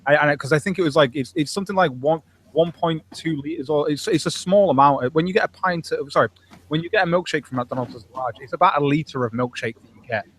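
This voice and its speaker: A man's voice: fairly high pitch, quick pace, fairly bright tone, slight background noise. A young man with a Manchester accent, chatting casually on a group call and rambling crudely with lots of fillers and restarts. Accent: Manchester English. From England. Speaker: panel guest.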